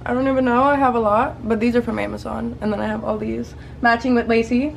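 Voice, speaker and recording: A woman's voice: fairly high pitch, fairly even intonation, creaky, a little hiss or hum, poor audio. Young woman chiming in on a vlog. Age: young adult. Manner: short, agreeable chimes and quick remarks. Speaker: vlog co-host. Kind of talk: casual chat to camera. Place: hotel room, then parked car.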